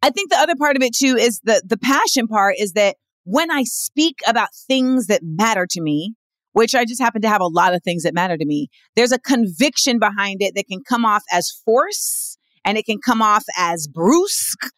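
A woman guest with a general American accent, speaking in a candid interview in a direct, emphatic way, with quick asides. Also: animated, fairly bright tone, no room sound. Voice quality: says she has a deep voice.

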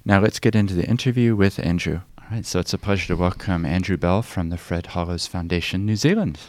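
Very deep-voiced male podcast host. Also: fast talker, no room sound. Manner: scripted intro, softer hesitant questions. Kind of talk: podcast intro and interviewing.

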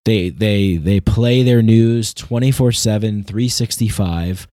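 A man with a fairly low voice speaks his opinions off the cuff in a dry, echo-free recording. He is earnest and emphatic, stopping and restarting as he hunts for the right word, often repeating a phrase before moving on. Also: mellow. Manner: halting, repetitive, searching for words. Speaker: commentator. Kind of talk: unscripted opinion monologue.

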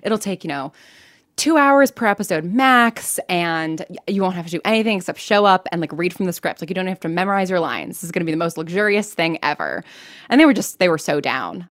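Chatty American woman, low-pitched, close dry sound. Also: lively intonation, a little fast, no room sound. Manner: chatty, self-deprecating, laughs while talking. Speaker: podcast creator and actor interviewed. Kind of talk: casual personal storytelling in an interview.

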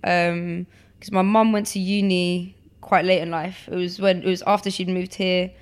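A woman's voice: lowish voice, level tone, moderate pace, clean tone. A woman, chatting casually as an interview guest with many fillers and restarts. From born in Poland, raised in London. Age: 20s. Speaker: guest, photographer.

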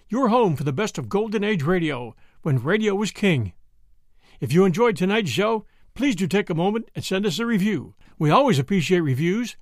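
A man's voice: high voice, dry recording, clean studio sound. A man hosting a programme, giving a scripted, friendly and courteous sign-off to listeners. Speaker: podcast host.

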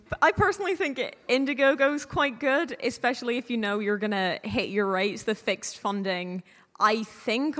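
A woman's voice: a little high, a bit bright. Informal woman panelist in a reverberant hall. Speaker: panel guest. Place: live panel in a reverberant room. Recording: echoey hall with long reverb.

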